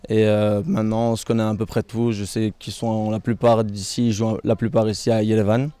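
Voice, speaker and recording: A man's voice: on the low side, level tone, a little fast, warm tone, clear, resonant tone, dry recording. A young male footballer from Belgium interviewed outdoors among trees, answering earnestly into a handheld microphone with frequent fillers. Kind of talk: post-match style interview. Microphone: handheld microphone held by interviewer.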